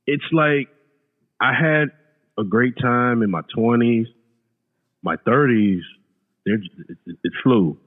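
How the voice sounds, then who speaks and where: A man's voice: medium pitch, slow, long pauses. A man in his late thirties, a podcast guest chatting casually, often repeating words and saying "you know". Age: late 30s. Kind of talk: casual chat. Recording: phone-like remote line with long reverb.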